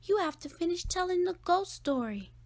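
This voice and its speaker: A woman's voice: extremely high pitch, lively intonation, normal speed, voice pushed up. A woman voicing a curious, persistent young child in a storybook read-aloud. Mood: eager, pleading.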